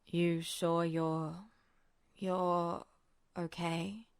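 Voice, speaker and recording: A woman voicing an exhausted, drugged man who is weak and concerned, mumbling haltingly with slurred words in an audiobook-style story. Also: on the low side, level tone, clean tone, no room sound, studio quality, voice pushed down.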